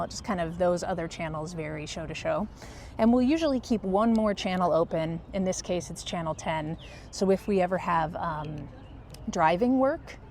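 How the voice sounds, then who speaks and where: A soft-spoken, low-key woman explaining her work casually in a vlog, pausing hesitantly as she goes. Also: creaky, a little hiss or hum. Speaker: film crew first assistant director.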